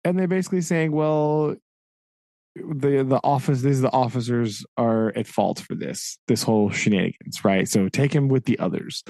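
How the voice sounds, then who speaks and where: Co-host, male, mid-pitched, chatty storyteller tic of "right?", recorded close and dry. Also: normal speed, vocal fry, dark tone, dry recording. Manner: retelling a story with frequent "right?" and laughs. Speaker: podcast co-host. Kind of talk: casual book discussion.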